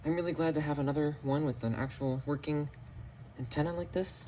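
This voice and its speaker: A man's voice: on the high side, fairly even intonation, a little fast, mellow, slight background noise. A man giving a casual hands-on product demonstration on a home camera recording. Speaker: video host, hobbyist collector. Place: indoors in a home basement.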